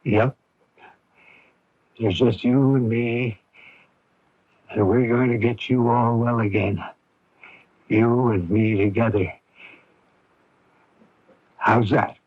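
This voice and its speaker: A man playing a middle-aged, devoted, anxious father in a radio drama, speaking in a halting, earnest, plain-spoken way. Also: medium pitch, steady tone, breathy edge. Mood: tender, coaxing. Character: devoted, anxious, simple-minded father of ailing daughter. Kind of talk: 1980s radio drama acting with first-person narration. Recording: dull lo-fi AM radio recording.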